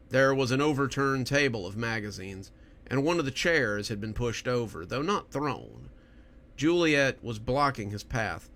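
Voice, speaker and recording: A man's voice: medium pitch, a bit bright. A man speaking as the narrator and host of a horror storytelling show.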